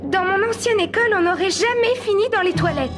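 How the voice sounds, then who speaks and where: A woman voicing an outspoken, teasing schoolchild who readily protests, in an animated cartoon. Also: extremely high pitch, a little fast, music in the background. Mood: protesting.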